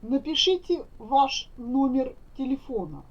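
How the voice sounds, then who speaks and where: A woman teaching Russian, saying each phrase slowly and carefully so learners can repeat it. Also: sits high, very animated. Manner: careful, deliberate enunciation. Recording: dull lo-fi recording.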